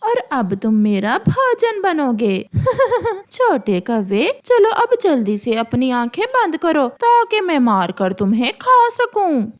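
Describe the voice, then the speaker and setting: A woman's voice: high-pitched, sing-song, takes it slowly, exaggerated voice. A woman voicing a menacing old female eagle in a children's cartoon, with a bullying, gloating manner. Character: menacing old female eagle, hungry predator turned pleading. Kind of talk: Hindi children's cartoon voice acting.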